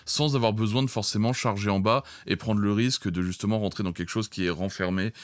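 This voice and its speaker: A man's voice: fairly low pitch, steady tone, fast talker, no room sound. A man teaching audio mixing in an informal, colloquial way, speaking directly to viewers into a close microphone. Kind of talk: informal spoken tutorial.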